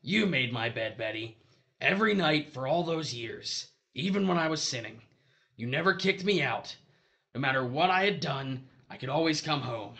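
A man as a gravelly, pleading dead husband. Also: medium pitch, animated, moderate pace, sharp tone. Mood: pleading, cajoling. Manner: pleading, self-justifying. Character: dead abusive husband, a hustler pleading for redemption. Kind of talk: audio drama acting.

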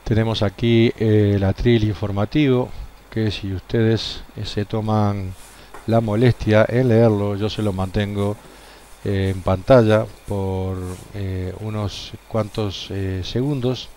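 A man narrating a walking tour of old ruins, pausing often with hesitant fillers as he talks. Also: fairly low pitch, steady tone, warm tone, slight background noise. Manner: hesitant, many "eh" fillers, slow and deliberate. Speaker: tour guide. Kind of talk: unscripted walking tour commentary. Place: outdoor church ruins.

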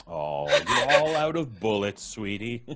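A man's voice: on the low side, sing-song, unhurried, heavy background noise. An actor playing a smug, manipulative father in a horror audio drama, sweetly condescending and falsely doting. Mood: mocking.

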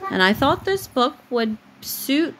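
A woman's voice: sits high, sing-song, slow, a bit bright, a little hiss or hum. A woman reading a picture book aloud to her small child at bedtime, as a mother at home, recorded on a phone. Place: bedroom at home.

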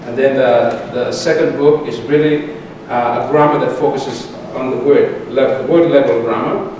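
A middle-aged man, a lecturer taking audience questions in measured speech with pauses and restarts. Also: medium pitch, fairly even intonation. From Solomon Islands. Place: large lecture theatre. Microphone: distant room mic. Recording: echoey hall from far off, background noise.

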